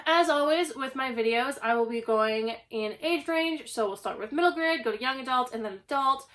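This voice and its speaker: A young woman with a slightly hoarse voice, recovering from a cough, chatting casually at home about a stack of books. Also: high voice, fairly bright tone. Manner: chatty, self-deprecating, rambling. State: hoarse, getting over a cough. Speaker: book channel host. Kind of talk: casual book haul vlog. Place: at home, indoors.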